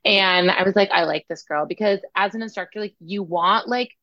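Young female co-host, scratchy and fry-heavy, chatty, lo-fi dry mic. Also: medium pitch, a little fast, pushed and bright, dry recording. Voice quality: scratchy from teaching. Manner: chatty, laughs often, says "like" a lot. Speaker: podcast co-host, spin instructor. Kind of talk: casual chat. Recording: dull lo-fi sound.

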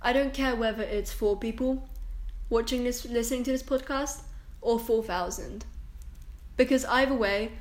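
A young woman talks into her camera in a casual solo rant, her voice strong, confident and a little high. She rambles and restarts, with a "like" every few words. The room around her rings with echo. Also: moderate pace. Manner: rambling, chatty, self-interrupting with likes and restarts. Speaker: podcast host. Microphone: camera and microphone, recording herself.

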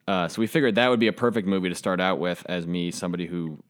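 A man's voice: deep voice, fast talker. A young man co-hosting a podcast, telling a childhood story in a rambling, dramatic way. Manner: rambling, many fillers and restarts, dramatic. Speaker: podcast co-host. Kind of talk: casual storytelling chat.

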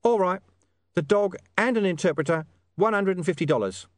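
Man putting on a high, shifty talking-dog informant. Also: lively intonation, a little fast, no echo, studio quality. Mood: wheedling, evasive. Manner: streetwise, evasive, menacing slang. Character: shady, wheedling talking dog posing as mysterious informant.

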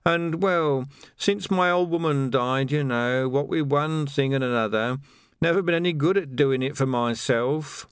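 A man's voice: medium pitch, measured pace, smooth, no room sound, studio quality, pitched above the performer's own voice, lightly acted. A man voicing a small, downtrodden old widower who mumbles in embarrassment. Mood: embarrassed.

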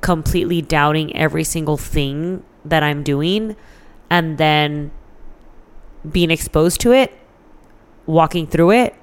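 Smooth-voiced American woman, candid and informal. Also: low voice, measured pace. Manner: candid, informal, swears freely. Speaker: interview guest. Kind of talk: casual chat, telling personal stories.

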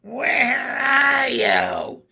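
Man voicing a shrill, slow-taunting old witch hag. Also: wildly swooping pitch, creaky, pushed and bright, slightly rough, heavily acted. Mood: mock-sweet taunting. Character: cackling, vengeful old witch hag, female.

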